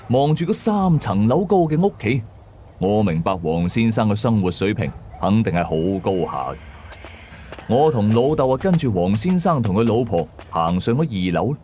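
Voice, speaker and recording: Low, expressive male storyteller. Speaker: audio drama narrator. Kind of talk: scripted first-person horror story narration. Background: background music.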